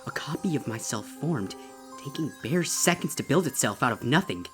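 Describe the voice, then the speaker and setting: A man reading a horror story as a traumatized female unicorn, grave, deliberate and intense, over music. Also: medium pitch, lively intonation, close to an everyday voice. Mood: horrified awe. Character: traumatized young female unicorn, horrified and desperate. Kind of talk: dramatic first-person horror story reading.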